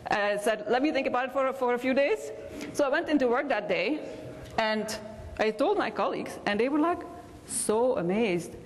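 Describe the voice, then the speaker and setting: A woman's voice: on the high side, lively intonation, normal speed. A woman from Belgium with a Belgian accent, telling personal stories as a convention speaker, with small hesitations. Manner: warm anecdotal storytelling with hesitations. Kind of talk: motivational keynote storytelling. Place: large convention hall. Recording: reverberant convention hall.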